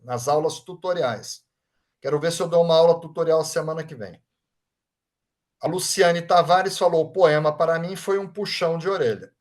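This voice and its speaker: A man's voice: a little high, normal speed. A man with a Brazilian Portuguese accent, a Reiki master leading an online study group, reading out chat comments and thanking people by name in an informal way. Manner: warm, informal, often adds tag questions like "right?".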